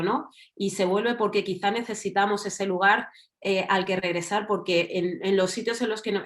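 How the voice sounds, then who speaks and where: A woman from Spain with a Madrid accent, speaking as a panelist in an online talk, thinking aloud with fillers and asides. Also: mid-range voice. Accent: Madrid Spanish. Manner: reflective, thinking aloud with fillers and tag questions. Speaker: panelist writer.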